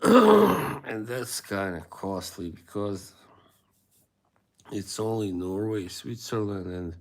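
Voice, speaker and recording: A man's voice: lowish voice, expressive, slow pace. A man from Bosnia and Herzegovina, hosting a casual live stream and chatting with viewers in a rambling, hesitant way with frequent chuckles. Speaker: livestream host.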